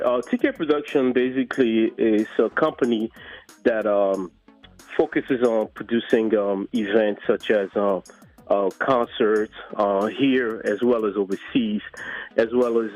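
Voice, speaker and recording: A middle-aged man answering in a conversational interview, often pausing hesitantly between phrases. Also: lowish voice, darkish tone, rough recording. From Democratic Republic of Congo. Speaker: guest, entertainment producer.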